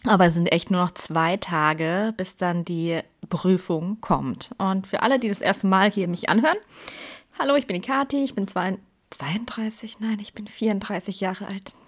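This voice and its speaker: A woman's voice: medium pitch, normal speed. Chatty, rambling woman in her thirties. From Germany. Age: 30s. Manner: rambling, self-correcting, chatty, drops into whispers. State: stressed before an exam. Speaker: podcast host. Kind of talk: casual chat.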